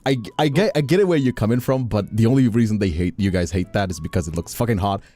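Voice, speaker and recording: Deep, mellow man chatting bluntly on stream. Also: fast talker, faint music behind. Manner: blunt, opinionated, swears freely. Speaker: streamer and voice actor. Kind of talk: casual stream chat.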